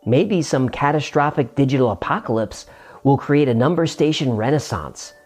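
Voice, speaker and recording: A man's voice: medium pitch, animated, normal speed, a little mellow, faint music behind. A man hosting a show and narrating in a scripted explainer style.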